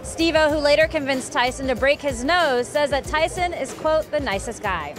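Lady reading celeb news script, high pitched, music under her. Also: lively intonation, moderate pace. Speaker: entertainment news host. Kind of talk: scripted news narration with read-out quotes. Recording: music behind the voice. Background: background music.

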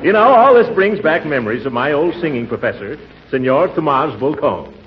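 A man playing a pompous, animated old-radio uncle. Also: a little high, brisk, over a noisy crowd, natural voice. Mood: self-important. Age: middle-aged. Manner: theatrical, blustery, chuckling. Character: pompous, chuckling, vain middle-aged uncle. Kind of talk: 1940s radio comedy acting. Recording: muffled, noisy 1940s radio, audience behind.

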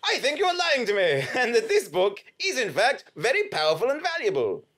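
A man's voice: extremely high pitch, wildly swooping pitch, normal speed, fairly bright tone, full character voice. A man playing a gleeful, vengeful feudal soldier in live comic audio drama, theatrical and exclaiming. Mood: sly, menacing. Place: small dim theatre stage before an audience. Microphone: stage microphone.

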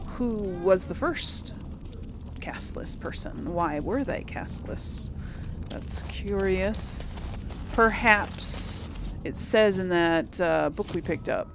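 Slow-talking woman narrating a game. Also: lowish voice, creaky, noisy background. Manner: chatty, giggly, musing aloud. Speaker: let's play host. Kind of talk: casual game commentary and role play. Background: game music.

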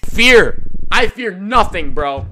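A young man playing a horror game with a headset on in a dark room, talking in slangy, excitable, jokey bursts and yelling when scared. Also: sits high, slow pace. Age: young adult. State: losing his voice. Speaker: gaming YouTuber. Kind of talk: reactive horror game commentary. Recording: game music underneath.

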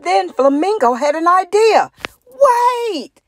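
Animated woman reading a children's story. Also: medium pitch, takes it slowly, no room sound. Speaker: children's story hour reader. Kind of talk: expressive picture-book narration for children.

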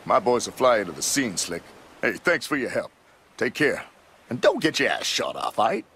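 An actor playing a gruff, foul-mouthed male criminal associate in a video game cutscene. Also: medium pitch, big pitch swings, normal speed, fairly bright tone. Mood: smug.

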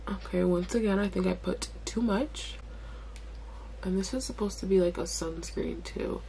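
A young woman with a raspy voice chatting casually through a skincare vlog in her bedroom, talking while she applies products. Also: lowish voice. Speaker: beauty vlogger. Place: bedroom at home.

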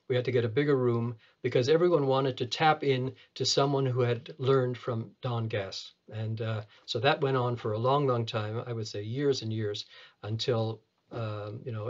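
A man in his seventies from Canada, a retina surgeon reminiscing in an interview, halting and often repeating words as he goes. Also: medium pitch, highs cut off. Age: 70s. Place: small clinic office, video call.

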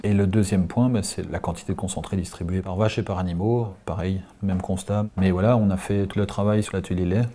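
A man, a dairy farmer talking things over in a thoughtful, measured way in a farmhouse kitchen. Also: deep voice, steady tone, warm tone. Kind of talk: conversation with adviser.

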